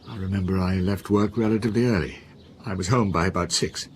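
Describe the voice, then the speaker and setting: A man's voice: sits low, fast, a little hiss or hum, lightly acted. An actor playing an anxious, defensive university professor in a radio drama, hesitant and flustered as he protests. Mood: defensive, uneasy. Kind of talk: naturalistic radio drama acting.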